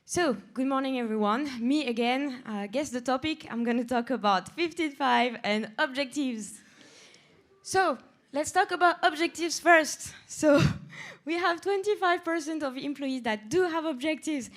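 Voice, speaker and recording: Woman pushing coworkers to fill in objectives, upbeat, highish voice. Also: measured pace. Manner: lively, urging, laughs. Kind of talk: presenting at a staff meeting.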